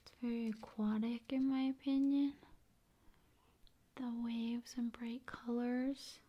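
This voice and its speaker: A woman with a fairly high, soft voice that often drops into a whisper. She speaks slowly and soothingly in an ASMR style, keeping her pitch very even. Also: lo-fi sound. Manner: unhurried, soothing delivery with drawn-out phrases. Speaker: ASMR video creator.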